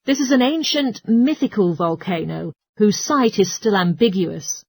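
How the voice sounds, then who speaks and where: A woman's voice: mid-range voice, lively intonation, a little slow, vocal fry, a little mellow, slightly rough, heavily acted. A woman playing a helpful, studious geography student in a scripted listening-test dialogue. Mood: studious, explaining.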